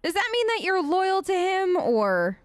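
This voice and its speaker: A woman with a high voice provides casual let's play commentary. Her intonation swings freely, and she is playful and chatty, slipping into role-play with a mock-whiny touch. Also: sing-song, a little slow, no room sound, soft background music. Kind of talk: casual playful gameplay commentary, speaking in character.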